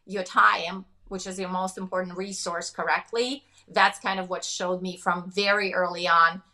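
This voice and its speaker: Russian accent lady, quick and emphatic, bright clear voice, guest on a business podcast. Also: lowish voice, flat delivery. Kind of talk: podcast interview.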